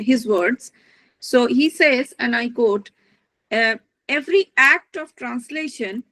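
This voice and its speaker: A woman giving an academic lecture as a literary translator, speaking in a measured, thoughtful way and searching for her words. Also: a little high, a bit bright, no room sound, poor audio. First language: not Dutch.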